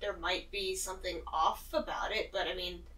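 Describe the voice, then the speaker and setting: A woman's voice: on the low side, fairly even intonation, normal speed, pushed and bright, recorded from a distance, poor audio. A woman playing a tabletop role-playing game, chatting and improvising casually.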